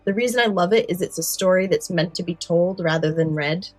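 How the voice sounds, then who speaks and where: A woman's voice: fairly low pitch, fairly quick, dry recording. A woman co-hosting a podcast in casual, enthusiastic chat, stressing points by repeating words. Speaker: podcast co-host.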